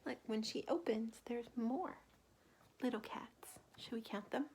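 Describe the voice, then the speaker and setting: A woman reading a picture book aloud to children like a teacher, gently pausing to ask questions and point things out. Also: on the high side, slight background noise.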